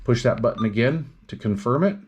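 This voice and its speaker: A man's voice: mid-range voice, lively intonation, brisk, darkish tone, slightly rough. A man reviewing radio equipment in a casual, hands-on demonstration, explaining things step by step without hurry.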